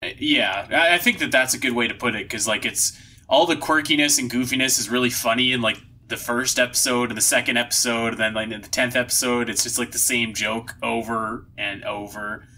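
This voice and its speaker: A man hosts a casual podcast in a very bright, punchy voice. His pitch sits in the middle, and his delivery is upbeat and full of slang. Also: steady tone, a little fast. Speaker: podcast host. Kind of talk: casual chat.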